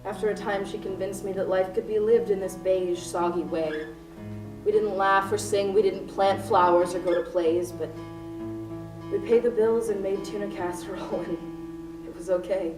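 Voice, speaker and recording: A woman in a student radio play acting a desperate, unhinged hostage-taker, melodramatic, moving between hushed recollection and frantic cries. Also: mid-range voice, steady tone, normal speed, natural voice. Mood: haunted, brooding. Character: unhinged, desperate female hostage-taker confessing her crimes. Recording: roomy, distant recording with music behind.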